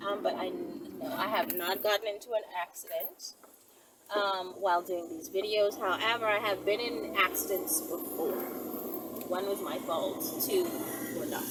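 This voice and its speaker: A woman's voice: mid-range voice, fairly even intonation, unhurried. A woman chatting casually and hesitantly as a video host, circling back and repeating herself as she answers questions. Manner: hesitant, repeats and circles back, often ends points with "right?". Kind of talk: casual rambling chat to camera. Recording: distant mic, loud vehicle and road noise.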